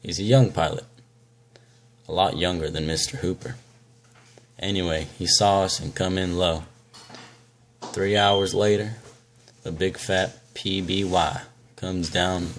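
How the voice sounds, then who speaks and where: Grim weathered seaman, played by a man, low and unhurried, dramatic monologue, light background noise. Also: fairly bright tone, full character voice. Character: grim weathered old sailor recounting shark horror. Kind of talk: dramatic film monologue recitation.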